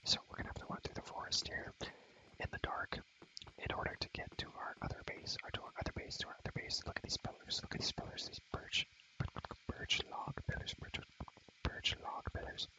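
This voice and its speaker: A young man whispering rambling ASMR commentary on a game in a breathy voice, repeating phrases over and over with playful, slangy asides. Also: a little fast, rough recording. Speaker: ASMR gaming creator.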